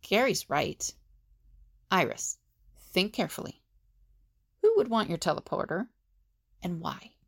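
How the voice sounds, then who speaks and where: A woman's voice: fairly low pitch, very animated, measured pace, vocal fry, pauses often, slightly rough, exaggerated voice. A woman playing an earnest, level-headed team leader in an audio drama. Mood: questioning.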